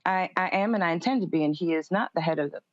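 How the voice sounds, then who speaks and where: A woman speaking as a political commentator in a live interview, measured and careful in how she answers. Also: lowish voice, no echo, dull audio.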